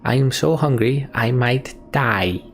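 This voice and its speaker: A blunt, wry caravan warrior, put on by a man, forever complaining. The voice is lifted well above the reader's own, with a creaky edge and some background noise. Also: medium pitch, slow pace, voice pushed up. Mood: grousing.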